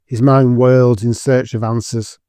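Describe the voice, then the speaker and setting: A man's voice: mid-range voice, little pitch movement, moderate pace, mellow, clean tone, dry recording, studio quality. A man giving scripted narration as the storyteller of an audio drama.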